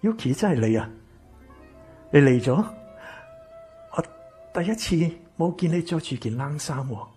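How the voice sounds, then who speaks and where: A man with a Hong Kong Cantonese accent acting an earnest, remorseful psychological counsellor in a radio play, speaking in a measured, heartfelt way. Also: fairly high pitch, animated, dark tone, faint music behind, natural voice. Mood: moved, hopeful. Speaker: actor in a stage radio drama.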